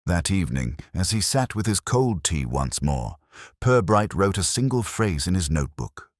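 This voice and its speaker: A man with an extremely deep voice narrates a mystery in a measured, wry and unhurried way. His pitch rises and falls with lively movement. The recording is dry and close, with no room sound. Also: dry recording.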